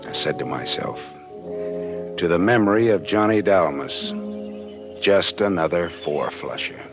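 Hard-boiled American detective, low voice, very animated delivery, terse and wry, vintage radio noir. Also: male voice, a little slow. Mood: wry, reflective. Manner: terse, wry, slangy tough-guy delivery. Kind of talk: 1940s radio noir acting and narration. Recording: dull vintage 1940s radio recording, organ-like music behind.

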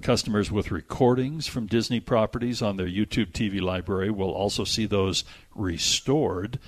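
A man's voice: fairly low pitch, moderate pace. A man hosting a movie show, chatting casually and reading out entertainment news with conversational asides. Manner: conversational, reads news items aloud with asides.

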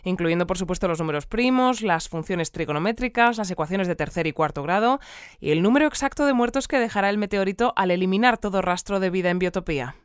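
Female radio news anchor played by an actress for audio fiction: measured, articulate, composed, mid-range voice. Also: mid-range voice, clean tone, no echo, studio quality, natural voice. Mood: formal, matter-of-fact. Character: composed woman news presenter of a sci-fi community bulletin. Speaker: news presenter. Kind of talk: scripted radio news bulletin, interviewing.

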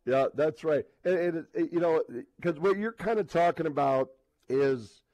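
Guy on sports radio, slightly high voice, rambles and restarts while thinking out loud, dry sound. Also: no room sound, poor audio. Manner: halting, rambling, thinking aloud with repeated words. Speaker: sports radio host, live on location. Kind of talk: casual sports talk.

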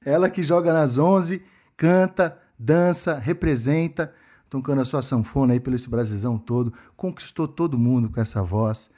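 São man co-hosting, medium pitch. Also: moderate pace, dark tone. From São Paulo, Brazil. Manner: affectionate, addresses people with endearments. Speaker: podcast co-host. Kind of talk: casual show presenting.

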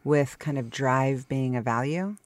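A woman hosting a podcast interview, upbeat and enthusiastic, firing off quick questions. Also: a bass voice, darkish tone, dry recording. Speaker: podcast host.

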